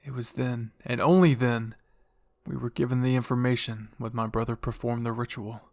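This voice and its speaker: A man's voice: medium pitch, fairly even intonation, moderate pace, gravelly, dry recording, studio quality, close to an everyday voice. A man voicing a pushy, fanatical royal father with a grandiose, fervent delivery in dramatic fan fiction roleplay.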